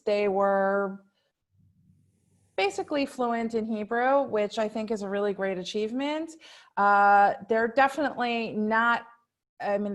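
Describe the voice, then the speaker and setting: A woman in her thirties from New York, talking casually as a guest on a video call, with many restarts and fillers. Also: mid-range voice. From New York, USA. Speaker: panel guest.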